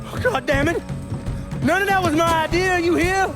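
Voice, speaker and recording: An actor playing a cornered, desperate criminal in a video game scene. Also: male voice, extremely high pitch, moderate pace. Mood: panicked, defensive. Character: cornered male Southern criminal, blustering then pleading desperately. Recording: music behind the voice.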